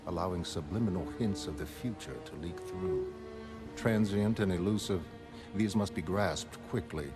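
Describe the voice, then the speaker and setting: A man as a low-voiced, aloof genius mastermind. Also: natural voice. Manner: formal, measured, erudite. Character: brilliant, aloof, self-assured male mastermind, soliloquizing to his pet. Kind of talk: scripted dramatic monologue in a motion comic.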